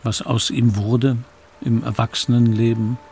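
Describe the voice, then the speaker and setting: An actor playing a middle-aged man who looks back on his childhood in a radio play, reflective, confiding and gravelly. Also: medium pitch, flat delivery, unhurried, mellow, soft background music. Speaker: narrator. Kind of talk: dramatic first-person radio play narration.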